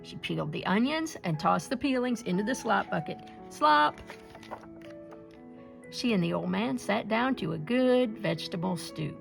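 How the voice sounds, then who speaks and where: A woman storyteller reading a picture-book folktale aloud in an expressive way. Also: medium pitch, normal speed, creaky, music in the background.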